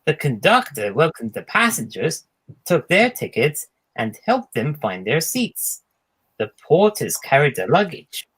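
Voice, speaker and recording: A man's voice: a little high, very animated, a little slow, a bit bright, slightly rough, rough recording. A man reading a children's storybook aloud as a narrator. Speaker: storybook reader.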